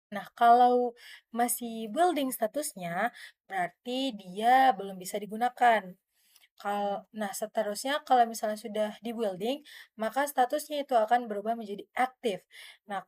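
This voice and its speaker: A young woman with an Indonesian accent gives an informal tutorial, explaining step by step in a halting way. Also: a little high, darkish tone. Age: young adult. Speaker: student presenter.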